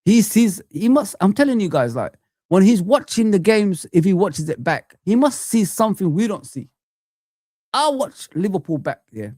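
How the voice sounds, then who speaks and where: A man's voice: high voice, very animated, moderate pace, a little mellow, no echo, clean studio sound. A young man hosting a live fan chat stream, ranting heatedly and repeating himself.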